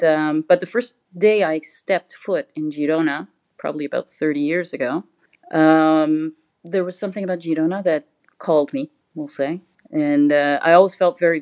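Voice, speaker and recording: A woman's voice: low-pitched, a little mellow. A middle-aged woman from Canada, a guest in an interview, answering thoughtfully and hesitantly with many fillers. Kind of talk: conversational interview.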